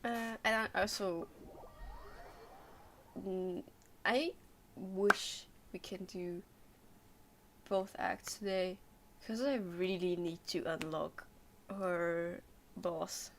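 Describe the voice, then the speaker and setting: A woman's voice: lowish voice, extremely slow, long pauses, a little hiss or hum. A young adult woman chatting casually on a game livestream, hesitant and rambling as she thinks aloud. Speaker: game streamer.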